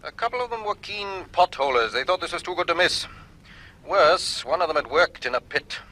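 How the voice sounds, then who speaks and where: An actor playing an excitable, blustering university lecturer in a radio drama, speaking emphatically. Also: male voice, fairly high pitch, a little fast, full character voice, poor audio. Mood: exasperated.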